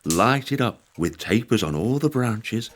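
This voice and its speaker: A man's voice: medium pitch, lively intonation, slow, a little hiss or hum. A man in an audio drama playing a fond English father, patiently describing things as a storyteller would.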